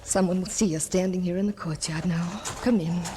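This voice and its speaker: An actress playing an anxious, protective middle-aged aunt, earnest and pleading, in an old-time radio drama. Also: female voice, fairly low pitch, fairly even intonation, brisk, mellow, a little hiss or hum, close to an everyday voice.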